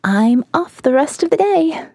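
A woman's voice: high-pitched, sing-song, a little slow, gravelly, mellow, no room sound, clean studio sound, voice pushed up, full character voice. A woman voicing a candid, amused and wistful young woman in an audio novel, with a shrugging manner that tends to trail off. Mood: proud.

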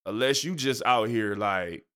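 A man's voice: on the low side, unhurried, fairly bright tone. A young man, stuffed up from a cold, hosting a casual faith podcast in loose, slangy talk full of fillers and asides. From Michigan. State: congested. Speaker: podcast host and preacher.

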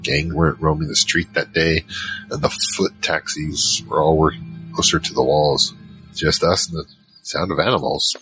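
A man's voice: rumbling low, fairly even intonation, moderate pace, music in the background, rough recording. A man playing a wary team member who narrates an audio drama in a rambling, wry, conversational way. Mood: wry storytelling. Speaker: narrator and lead character.

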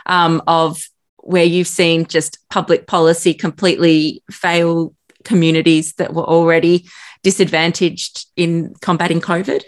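Low-voiced woman asking probing questions. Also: level tone, measured pace. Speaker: interviewer. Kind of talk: interview questions.

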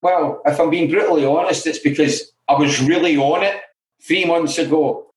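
A man's voice: on the high side, normal speed. Frank Glaswegian man, roomy echo. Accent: Glaswegian Scottish. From Scotland. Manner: frank and self-deprecating, full of "you know" asides. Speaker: interview guest in alcohol recovery. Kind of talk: candid conversational storytelling. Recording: roomy echoey room.

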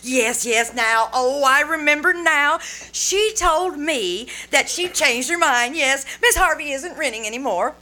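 Woman acting a teasing radio-comedy wife, high voice, airy, mock-sweet sarcasm. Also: unhurried, hardly any pauses, sharp tone. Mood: startled, amused. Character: breezy, teasing wife scoring points off her husband. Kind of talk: old-time radio comedy acting on stage.

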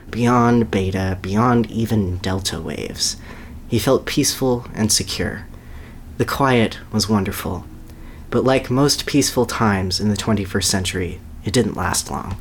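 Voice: medium pitch, a little slow, slight background noise. A podcast co-host giving an amused dramatic reading of a children's story, breaking into asides.

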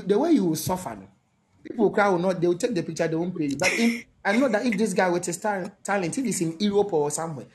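A woman co-hosting a casual live talk, speaking in an animated, wry and rambling way. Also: lowish voice.

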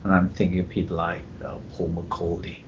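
A British man, a publisher and editor, talking reflectively and haltingly in a panel conversation. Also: monotone. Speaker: publisher and book editor, podcast guest. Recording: muffled internet call, clear background rumble.

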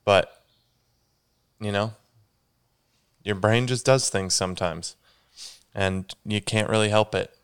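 A young man chats alone into his podcast mic, congested and a little stuffy. His voice sits low and comes through exceptionally clear. He rambles loosely and keeps backtracking mid-sentence. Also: fairly even intonation, slow pace, long pauses. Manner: rambling, self-correcting, says "dude" a lot. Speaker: podcast host. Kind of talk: casual solo podcast chat.

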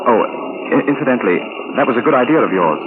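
A young army captain played by a male radio actor, glib and self-deprecating. He talks fast with very lively pitch swings, clipped and flippant. It is 1940s radio drama on a very noisy old recording. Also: narrow, tinny audio, lightly acted. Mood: rueful. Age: young adult.

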